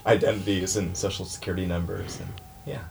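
A man's voice: sits low, steady tone, brisk, vocal fry, a crowd in the background. A man speaking hesitantly with many fillers, evasive in a comedy bit.

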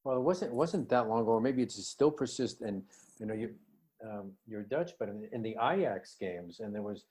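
A man interviewing in a casual conversational way, feeling his way haltingly through questions. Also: fairly low pitch, animated, darkish tone.

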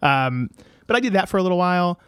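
A man's voice: high voice, lively intonation, fast talker, fairly bright tone, clear, resonant tone, dry recording, clean studio sound. Thirty-something man telling rambling stories. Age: 30s. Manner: rambling, many fillers and restarts. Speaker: guest. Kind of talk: casual interview storytelling.

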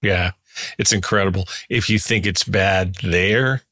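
Very low-voiced man in his sixties. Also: a little slow, sharp tone, no room sound, studio quality. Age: 60s. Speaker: podcast host. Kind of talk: casual interview chat.

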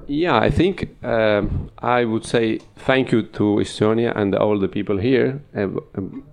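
Gravelly halting male panelist. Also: lowish voice, darkish tone. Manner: halting, searching for words.

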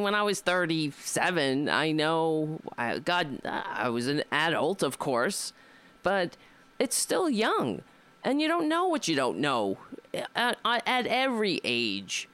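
A woman's voice: low-pitched, very animated, unhurried, a bit bright. A woman in her fifties, a comedian hosting her own show, talking in a rambling, wry, casual monologue and swearing freely. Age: 50s.

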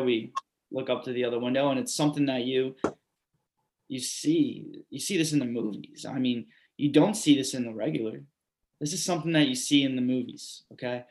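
A man's voice: mid-range voice, darkish tone. Man urgently recounting a flood. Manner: urgent, repetitive storytelling. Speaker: reader performing a monologue. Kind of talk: readers theatre monologue.

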